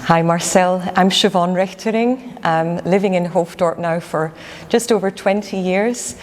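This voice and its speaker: A middle-aged Scottish woman chatting in an interview about her church, speaking in quick bursts with fillers. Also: fairly low pitch. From Scotland. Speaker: parishioner. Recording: long reverb, faint noise.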